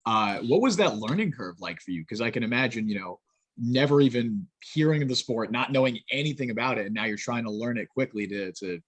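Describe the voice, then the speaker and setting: A male interviewer asks questions in a relaxed, conversational way, thinking aloud as he goes. His voice is a little husky and sits at a middle pitch, and the sound is dry and close. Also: fairly quick, dry recording. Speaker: interviewer host. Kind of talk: casual interview.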